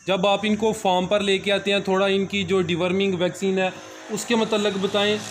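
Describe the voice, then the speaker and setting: A man's voice: sits high, level tone, moderate pace, slight background noise. A man asks questions as an interviewer, politely and a little hesitantly. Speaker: interviewer, channel host. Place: cattle farm.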